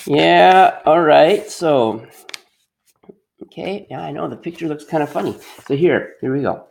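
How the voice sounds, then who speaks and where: A man's voice: on the high side, a little slow, vocal fry, darkish tone, a little hiss or hum. A middle-aged man leading an interactive read-aloud for young children as their teacher, encouraging them and repeating his questions. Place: quiet workshop at home.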